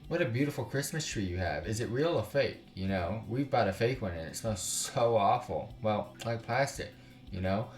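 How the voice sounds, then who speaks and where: A man's voice: fairly low pitch, lively intonation, moderate pace, natural voice. A man voicing a chatty, cheerful young woman from a visual novel, chattering and gushing. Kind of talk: visual novel character read-aloud. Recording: roomy echo, distant mic, music behind.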